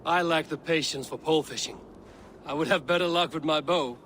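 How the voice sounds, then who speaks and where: An actor voicing a steady male Viking raider hero with a measured, dry-witted delivery in a video game cutscene. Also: on the high side, a little hiss or hum, close to an everyday voice. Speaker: game protagonist.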